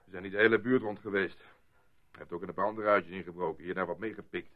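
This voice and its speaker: An actor playing a gruff, blunt, easily irritated village barman in a radio play. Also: low-pitched, steady tone, quick pace, lightly acted. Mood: gruff, annoyed. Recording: muffled, phone-like lo-fi radio play.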